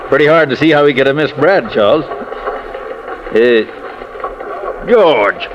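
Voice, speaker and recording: Guy playing brisk office pal in vintage radio drama, bouncy intonation, dull recording, bit of hiss. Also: mid-range voice, animated, close to an everyday voice. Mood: puzzled, impatient. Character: brisk, puzzled office colleague. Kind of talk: 1950s radio drama acting. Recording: muffled old radio recording, faint noise.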